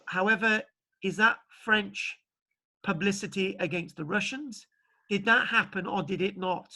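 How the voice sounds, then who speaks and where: A man's voice: high voice, a little slow, bright, forceful tone. A man lecturing an online archaeology class, chatty and jokey, rambling a little as he talks. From Britain. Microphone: video call.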